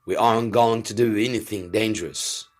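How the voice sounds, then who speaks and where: A man voicing a shrewd, commanding senior royal adviser in a storybook, speaking in a steady, instructing way. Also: fairly low pitch, close to an everyday voice. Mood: calm, firm. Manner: measured, firm, instructing.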